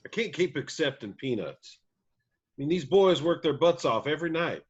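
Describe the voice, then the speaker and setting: A man reads a folksy male leader of a country band in a script read-through. The voice is a bit higher than most men's, and the sound is somewhat dull and lo-fi. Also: animated, moderate pace, husky. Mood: fed up. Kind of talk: screenplay table read.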